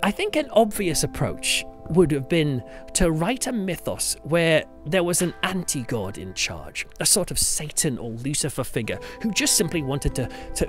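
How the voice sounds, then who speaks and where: A man hosting a solo podcast, talking conversationally in an emphatic, reflective storytelling manner. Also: a little high. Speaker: podcast host and church pastor.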